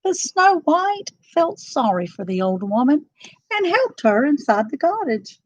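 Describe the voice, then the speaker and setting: A woman narrating a children's storybook in an expressive, dramatic and deliberate style. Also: sits high, slight background noise, poor audio.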